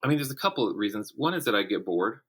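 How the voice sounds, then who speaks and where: A man's voice: lowish voice, expressive, very fast, breathy edge. A middle-aged man talking casually and thoughtfully as an interview guest, revising his sentences as he goes. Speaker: guest, writer and college teacher.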